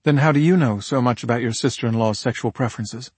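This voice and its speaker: A man narrator plays a tough woman homicide detective in a crime audiobook. She is direct and challenging, at a medium pitch for a man, recorded dry. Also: fast talker, husky, no room sound, lightly acted.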